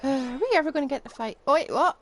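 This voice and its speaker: A woman giving casual, expressive live commentary as she plays a game, in a playfully exasperated way. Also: sits high, racing, a little hiss or hum. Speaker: game livestreamer. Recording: music playing behind voice. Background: game music.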